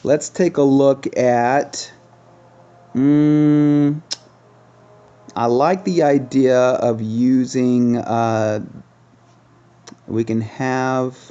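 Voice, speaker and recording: A man with a relaxed, dark-toned voice gives casual commentary as he hosts a gaming video. He is chatty and thinks aloud, trailing into pauses as he decides. The sound is a little dull and lo-fi, like a simple home recording. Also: medium pitch, smooth.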